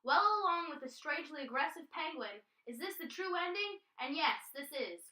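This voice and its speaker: A woman's voice: high-pitched, moderate pace. A teenage girl reading aloud a scripted story and presentation as a student storyteller. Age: teens. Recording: distant mic, loud steady background noise.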